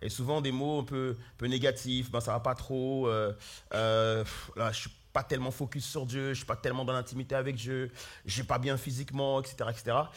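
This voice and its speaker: A man preaching a sermon as pastor, hoarse with flu, earnestly calling out questions to his congregation. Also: mid-range voice, little pitch movement, fairly quick. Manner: earnest, calls out questions to the congregation, many fillers and restarts. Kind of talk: sermon with opening prayer. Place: church hall.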